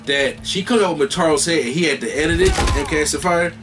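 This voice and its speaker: A man's voice: on the high side, quick pace. A man in his thirties giving casual, informal video game reaction commentary as a host, full of exclamations. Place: small room with shelves of collectibles. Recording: distant mic with music behind.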